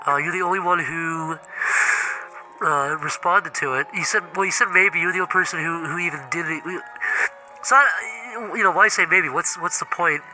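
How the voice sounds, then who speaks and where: Man playing a whiny, high-voiced, stammering Jesus. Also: normal speed, over music, close to an everyday voice, rough recording. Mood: awkward, hurt. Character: needy, passive-aggressive, petty Jesus leaving voicemails. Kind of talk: improvised comedy voicemail skit.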